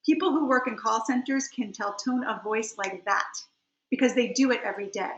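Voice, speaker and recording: A middle-aged woman giving an instructional webinar talk as a coach, emphatic and slowing down to stress key phrases. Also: fairly high pitch, fairly bright tone. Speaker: webinar presenter, communication coach and trial attorney. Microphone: computer on a video call. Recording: roomy, slightly dull computer audio.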